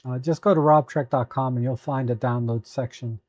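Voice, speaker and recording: A hoarse man hosting a live photo editing tutorial, talking at an easy, halting pace with many fillers while answering viewers. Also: mid-range voice, steady tone, a little mellow, dry recording, muffled recording. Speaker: photo editing livestream host.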